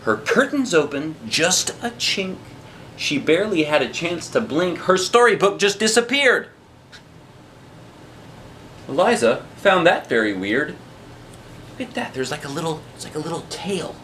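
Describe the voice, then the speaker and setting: A man's voice: fairly high pitch, sing-song, a little slow, long pauses, a bit bright, slight background noise. A man reading a picture book aloud as a dad would, with dramatic rhythmic phrasing and casual asides to children.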